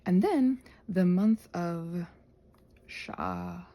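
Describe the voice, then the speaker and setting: A woman chatting casually in a rambling ASMR style, with a soft breathy voice that often drops into a whisper, questioning herself and thinking out loud. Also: fairly low pitch, huge pitch swings, extremely slow. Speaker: ASMR video creator and host.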